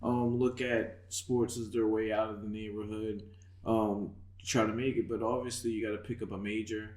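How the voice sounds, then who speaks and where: A man's voice: lowish voice, little pitch movement, moderate pace, a little mellow, reverberant. A man hosting a casual podcast interview as a boxing coach, talking loosely and laughing easily.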